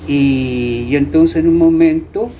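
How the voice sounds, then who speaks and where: An older man with an Argentine accent, reminiscing in casual conversation, haltingly and often repeating words. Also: on the high side, mellow, highs cut off, slight background noise. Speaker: interviewee, veteran radio drama actor.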